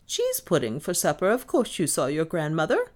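A woman voicing a brisk, no-nonsense older mistress of the house, crisp, decisive and ironic, in an audiobook. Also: low voice, big pitch swings, full character voice. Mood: sharp, crisp. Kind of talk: audiobook character dialogue.

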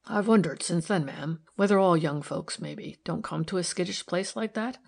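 Woman putting on a deep, creaky, stolid working man. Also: normal speed, a little mellow. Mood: solemn, grateful. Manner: rustic, ungrammatical, deferential speech. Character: stolid, respectful working-class man, solemn and modest.

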